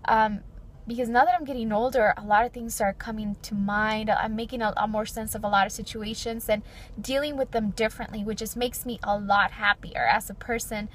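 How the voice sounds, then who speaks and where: Chatty young woman, fairly deep voice. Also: steady tone, fairly quick, a bit bright. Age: 20s. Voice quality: fairly deep voice for her age. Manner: earnest, chatty, frequent "like" and "you know". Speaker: beauty vlogger. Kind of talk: personal reflective chat to camera.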